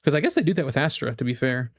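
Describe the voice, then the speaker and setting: A man's voice: mid-range voice, big pitch swings, racing, dry recording, studio quality. A man chatting casually as a gaming streamer, rambling enthusiastically and thinking aloud with false starts. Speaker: streamer reacting and chatting with viewers.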